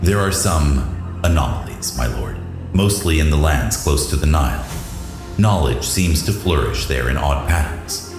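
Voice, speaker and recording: A man voicing a loyal aide to an alien god in an audio drama, deferential, measured, precise and formal. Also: very deep voice, lively intonation, pitched below the performer's own voice. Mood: wary. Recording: echoey voice over loud music.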